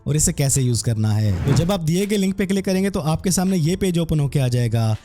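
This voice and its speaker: A man narrating a scripted software tutorial as its presenter. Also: a little high, animated, brisk, faint music behind.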